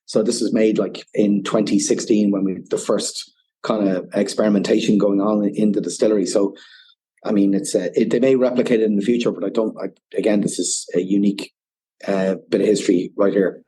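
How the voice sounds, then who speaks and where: A man's voice: on the low side, steady tone, fairly quick, mellow, breathy edge. A man with an Irish accent, a whiskey ambassador guest on a podcast, explaining at length and often saying "you know". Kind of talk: casual podcast interview.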